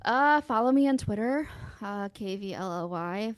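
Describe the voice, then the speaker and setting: A woman guest in casual chat, telling animated stories and acting out what people said. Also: mid-range voice, moderate pace, smooth, dry recording. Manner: animated storyteller, acts out quotes. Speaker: podcast guest.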